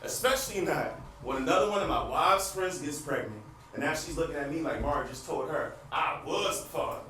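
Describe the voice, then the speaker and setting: A man's voice: fairly high pitch, lively intonation, brisk, sharp tone. A man acting a frustrated, wisecracking husband in a comedic stage monologue, talking straight to the audience with exaggerated, self-deprecating delivery. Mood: wry embarrassment. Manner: conversational, addresses the audience, exaggerated. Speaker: stage actor performing a monologue. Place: stage in a theater. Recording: noisy, echoey theater recording from far off.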